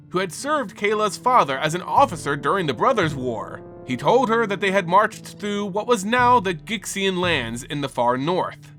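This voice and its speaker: A man's voice: sits high, bright, forceful tone. A man giving a solemn, theatrical dramatic reading of fantasy lore as a storyteller. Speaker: narrator.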